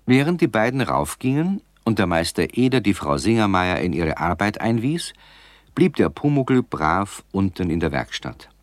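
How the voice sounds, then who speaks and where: Storybook narrator, lowish voice, lilting expressive melody, dry close sound, calm, children's radio play. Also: male voice, moderate pace, no room sound. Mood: calm storytelling.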